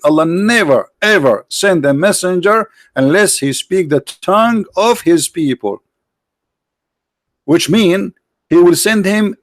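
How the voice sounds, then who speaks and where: A man's voice: a little high, no room sound, clean studio sound. A man whose first language is Arabic, hosting a live stream and debating a caller in a pushy, interrupting way, repeating his questions.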